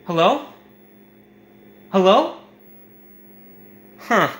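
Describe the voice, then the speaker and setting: Excitable high-voiced man reviewing games. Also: drawn-out words, slight background noise, poor audio. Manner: excitable, emphatic, jokey. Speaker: video game reviewer. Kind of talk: comedic retro game review.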